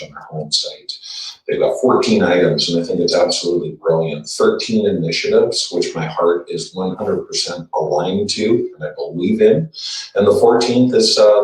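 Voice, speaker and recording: A middle-aged Canadian man from Alberta giving an earnest campaign self-introduction, steady but halting with fillers. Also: low voice. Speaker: party board candidate. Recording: echoey room, distant mic.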